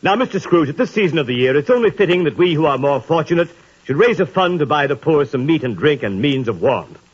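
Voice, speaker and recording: A courteous gentleman who asks for charity in earnest. His voice is husky and quick, and its pitch moves freely. It comes through a muffled 1930s radio broadcast. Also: lively intonation. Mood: polite. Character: polite gentleman collecting for the poor.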